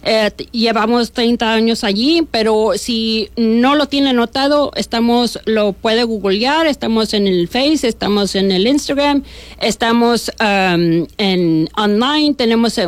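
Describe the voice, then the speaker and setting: A woman's voice: on the high side, no echo. Chatty Mexican-born woman, dry close sound. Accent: northern Mexican. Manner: chatty, frequent fillers and restarts. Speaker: bail bond agent guest. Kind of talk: casual radio interview.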